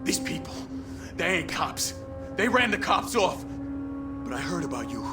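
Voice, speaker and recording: A man's voice: high-pitched, very animated, normal speed, over music. A man playing a terrified criminal henchman in a video game, stammering and pleading. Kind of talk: dramatic video game acting.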